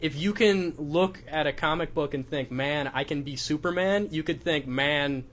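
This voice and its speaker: A man on a casual podcast panel with a raised, very bright voice. His talk is colloquial, joking and irreverent. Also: on the high side, moderate pace, slight background noise. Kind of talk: casual, joking comics discussion.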